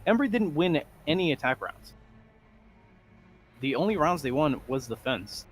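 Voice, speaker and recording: A man with a Portuguese accent, a native Portuguese speaker, doing live esports commentary with animated delivery and frequent stumbling restarts. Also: fairly high pitch, unhurried, slight background noise. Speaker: esports caster.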